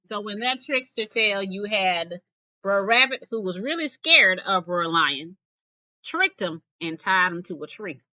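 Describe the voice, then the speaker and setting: A woman reading folk tales aloud as a teacher, in an expressive storytelling style. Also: medium pitch, measured pace, dry recording, low-quality recording.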